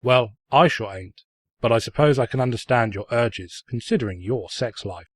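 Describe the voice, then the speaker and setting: A man's voice: mid-range voice, moderate pace, no echo, close to an everyday voice. Man playing a snide, pompous storybook narrator, dry recording. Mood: smug. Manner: pompous, sarcastic, florid storytelling delivery. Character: snide, mocking male storybook narrator who insults the heroine. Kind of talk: scripted comedic fan fiction narration.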